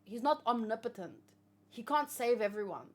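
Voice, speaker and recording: A woman hosting a read-along, chatting casually with viewers and thinking aloud, with hesitant pauses and musing hums. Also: a little high, lively intonation. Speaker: host of a manga read-along reaction show.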